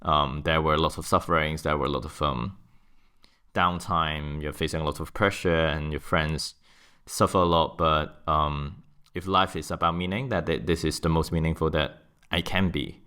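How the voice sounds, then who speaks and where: A man's voice: a bass voice, steady tone, moderate pace, pushed and bright, smooth. A man in his thirties from Hong Kong with a Hong Kong accent, answering interview questions thoughtfully and restarting his sentences often. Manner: thoughtful, frequent restarts and fillers. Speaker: guest. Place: office.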